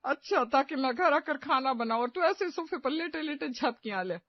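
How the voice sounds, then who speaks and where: A woman's voice: high-pitched, animated, moderate pace, no echo, muffled recording. A woman playing a bossy, theatrical middle-aged mother-in-law in a cartoon story, nagging with sarcasm and melodrama. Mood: irritated, sarcastic. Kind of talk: animated moral-story cartoon acting.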